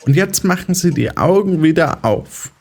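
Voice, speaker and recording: High-voiced, condescending psychiatrist played by a man. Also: lively intonation, moderate pace, gravelly, a little mellow. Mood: lecturing. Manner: condescending, didactic. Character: patronizing male psychiatrist, smooth, lecturing, controlling. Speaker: voice actor in an audio drama. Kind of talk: German audio drama acting.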